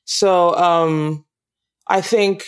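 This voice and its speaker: A Nigerian-accented woman from Nigeria, a guest actress chatting reflectively in an interview, laughing easily and pausing with ums. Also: fairly low pitch, steady tone, clear, resonant tone. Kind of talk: casual interview chat.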